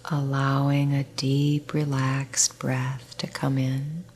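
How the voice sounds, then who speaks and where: A middle-aged woman, a nurse, leading a guided relaxation session in a soft, soothing voice that often drops to a near whisper. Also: very deep voice, monotone, slow.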